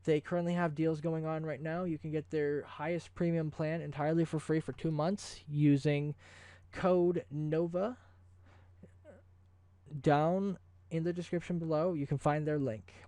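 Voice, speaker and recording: A male video host with a slightly high, mellow voice talks casually and effusively, rambling through promotional remarks. The sound is close and dry, with no room to it. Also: fairly even intonation, unhurried, clear, resonant tone, no room sound. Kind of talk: casual ad-libbed promotional vlog talk.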